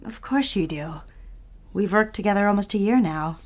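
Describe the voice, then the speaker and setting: A woman's voice: mid-range voice, normal speed, lightly acted. Woman acting a demure, nervous young office worker. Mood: flustered. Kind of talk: period romantic audio drama acting.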